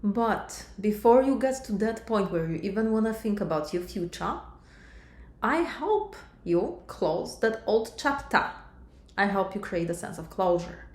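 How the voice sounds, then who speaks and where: A woman's voice: medium pitch, expressive, measured pace, darkish tone, reverberant. A middle-aged woman from Poland with a Polish accent, a life coach talking directly to her viewers and calling them sister and my love. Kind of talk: coaching monologue to camera.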